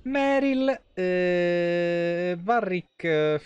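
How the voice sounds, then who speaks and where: A man's voice: high-pitched, drawn-out words, smooth, muffled recording. A young man giving casual, foul-mouthed gameplay commentary as a live streamer. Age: young adult. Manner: foul-mouthed, animated reactions to game and chat. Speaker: video game live streamer. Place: small indoor room at home.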